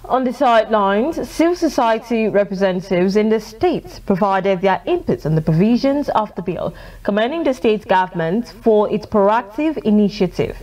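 A woman's voice: mid-range voice, lively intonation, normal speed, a little mellow, low-quality recording. A woman with a Nigerian accent reading scripted news as a television anchor. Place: TV news studio.

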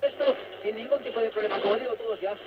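High voiced guy doing football commentary, muffled radio. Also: rapid-fire, over loud music. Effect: radio reception. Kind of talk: live sports commentary. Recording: muffled radio with heavy static.

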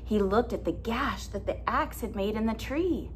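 A woman in her thirties reading a picture book aloud as a teacher, deliberate and expressive, explaining simply. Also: fairly high pitch, slight background noise. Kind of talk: children's read-aloud with simple questions. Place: classroom, indoors.

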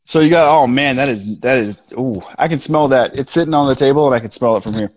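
Relaxed man on a backyard video call. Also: mid-range voice, quick pace. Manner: relaxed, enthusiastic about flavors. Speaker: whiskey reviewer co-host. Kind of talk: casual tasting chat. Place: outdoors in a backyard. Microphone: phone video call.

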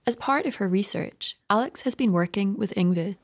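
A woman hosting a podcast, asking interview questions and narrating in a measured, clear way. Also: medium pitch.

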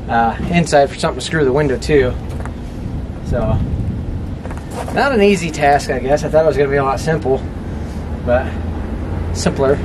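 A man chatting casually as he works, with a folksy, joking, self-deprecating, slangy way of talking. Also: medium pitch. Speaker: host of a DIY outdoors build vlog. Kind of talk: casual talking to camera while working. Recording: distant mic with clear background noise.

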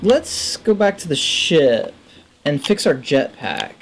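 A man in his thirties giving casual game commentary into a headset, thinking aloud in short, low-key remarks. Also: big pitch swings, slow, faint music behind. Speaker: gamer, let's play host. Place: indoor room at a gaming setup.

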